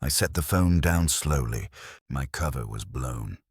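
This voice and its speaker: A man with an extremely low-pitched voice delivers scripted dramatic narration in a measured, deliberate way. His intonation moves widely up and down. The sound is dry and close, with no room around it. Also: lively intonation, breathy edge, no echo. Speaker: story narrator. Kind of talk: scripted dramatic first-person audiobook-style narration.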